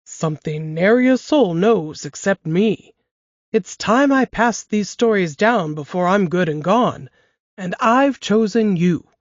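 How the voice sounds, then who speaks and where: A woman's voice: mid-range voice, lively intonation, measured pace, dry recording, close to an everyday voice. A woman voicing an elderly woman in an assisted living home who coaxes her great-grandson into listening with a folksy, teasing manner. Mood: coaxing. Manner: folksy drawl, teasing and conspiratorial. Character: elderly woman storyteller, spry, sly and coaxing. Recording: dry, dull lo-fi podcast audio.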